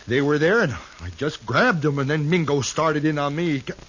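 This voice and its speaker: Timid, childlike cowhand, higher-pitched. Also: male voice, a bit bright. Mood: frightened pleading. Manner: hesitant, naive, halting. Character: simple, timid, childlike male cowhand in trouble. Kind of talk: 1950s radio drama acting. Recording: dull, hissy old radio recording.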